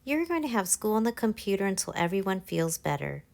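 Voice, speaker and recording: A woman voicing a gentle, reassuring mother who patiently explains things to her young child in a storybook. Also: medium pitch, sing-song, fairly quick, creaky. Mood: explaining calmly.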